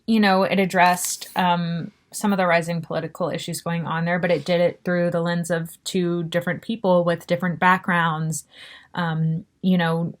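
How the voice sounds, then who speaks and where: Slow, low-pitched woman reviewing a film. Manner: halting, pausing to find words. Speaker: film festival audience member giving feedback. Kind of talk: considered spoken film review.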